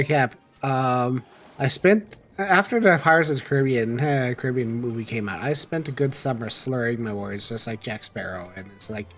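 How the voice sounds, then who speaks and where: Stammering man on a stream. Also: mid-range voice. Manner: stammering restarts. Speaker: co-host guest. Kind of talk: casual chat.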